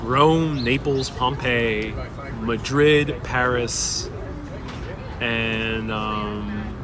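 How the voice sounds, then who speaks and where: Chatty young livestream host, busy street. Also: male voice, mid-range voice, extremely slow. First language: Spanish. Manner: reads viewer questions aloud and rambles warmly. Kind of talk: casual live-stream chat. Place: outdoors on a busy city street. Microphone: wireless clip-on mic. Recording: outdoor street with clear crowd noise. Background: street crowd and passing traffic.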